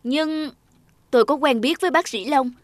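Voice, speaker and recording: A woman's voice: high voice, moderate pace, no room sound, studio quality, pitched above the performer's own voice, close to an everyday voice. A woman voicing a vain, pushy famous female singer in a cartoon, sounding demanding and indignant.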